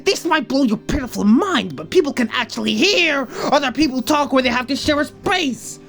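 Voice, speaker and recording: A woman's voice: high-pitched, expressive, moderate pace, a bit bright. A woman voicing a sweary young male alien in a comic dub, sarcastic and wordy, prone to florid insults. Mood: indignant, sarcastic. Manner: indignant, sarcastic, verbose, sweary. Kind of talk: comic fan dub voice acting. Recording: music behind the voice.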